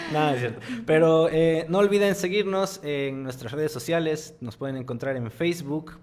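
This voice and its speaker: A man's voice: fairly high pitch, very animated, normal speed, a crowd in the background. A man moderating a university panel, polite and formal. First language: Spanish. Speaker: event moderator.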